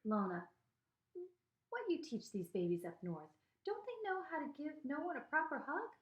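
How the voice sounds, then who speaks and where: A woman's voice: high voice, sing-song, normal speed, highs cut off, pitched above the performer's own voice. A woman voicing a feisty, doting old Southern grandmother in a story, folksy, bossy and affectionate, with a Southern US accent. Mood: fond, bossy. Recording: echoey room.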